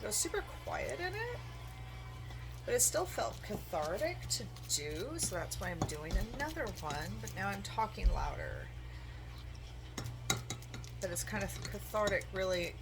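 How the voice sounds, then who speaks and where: A woman in her forties with a quiet voice, chatting casually and meandering while she bakes in her home kitchen, filmed on a phone. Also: mid-range voice, big pitch swings, unhurried, gravelly, long pauses, over music. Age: 40s. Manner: soft-spoken, meandering, self-deprecating. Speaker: home cooking vlogger. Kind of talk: casual rambling while baking.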